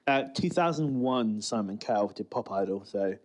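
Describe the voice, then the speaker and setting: Lively man reacting on a commentary podcast. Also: lowish voice, normal speed. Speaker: podcast co-host. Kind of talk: casual chat, TV episode commentary.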